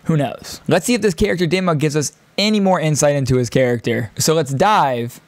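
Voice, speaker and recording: Higher-pitched man, enthusiastic streamer. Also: fairly quick, no echo. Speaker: video host, streamer. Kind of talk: casual reaction commentary to camera. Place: small indoor streaming room. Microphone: close desk microphone on boom arm.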